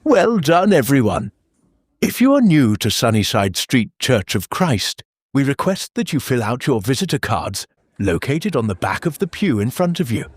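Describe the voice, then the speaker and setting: A man playing a deadpan rule-bound minister. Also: mid-range voice, normal speed, breathy edge, no echo, studio quality. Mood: solemnly formal. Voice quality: formal and deadpan, bureaucratic phrasing. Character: stiff, rule-bound male church minister. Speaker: church announcer. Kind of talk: satirical audio drama.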